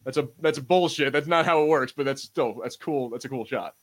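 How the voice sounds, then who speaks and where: A man's voice: mid-range voice, a little fast. A man hosting a podcast, chatting casually about TV shows in an informal way with some mild swearing. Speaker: podcast host.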